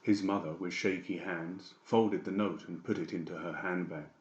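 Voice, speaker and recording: A man's voice: deep voice, fairly even intonation, moderate pace, reverberant, highs cut off. A man reading scripted narration for a dramatised audiobook.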